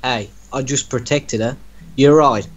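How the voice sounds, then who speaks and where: A man's voice: mid-range voice, steady tone, measured pace, highs cut off, a loud crowd. Man reading a story aloud, bantering. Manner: breaks off to mock the text he reads. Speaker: reader in an online reaction group. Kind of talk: dramatic reading mixed with casual banter.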